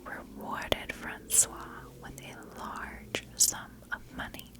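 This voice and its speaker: Slow, whispering woman ASMR storyteller. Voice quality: soft, breathy whisper. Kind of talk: whispered ASMR narration of a historical mystery.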